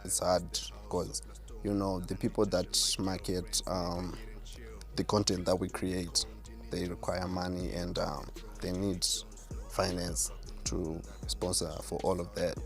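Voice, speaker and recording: A man with a deep voice, a self-assured artist answering interview questions. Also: flat delivery, slow, faint music behind. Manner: self-assured, boastful about his skill. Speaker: rapper and artist, guest.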